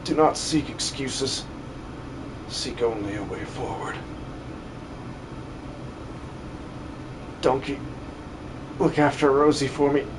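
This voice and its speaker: A man voicing a gentle old pastor on his deathbed in a fantasy game fan dub, speaking slowly and solemnly in halting phrases. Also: medium pitch, very noisy, lightly acted. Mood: tender, fading.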